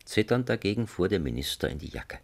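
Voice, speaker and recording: A wry male storyteller narrates a radio satire. His bass voice is gravelly and husky, highly animated as he savours the scripted drama. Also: fast. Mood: wry, dramatic.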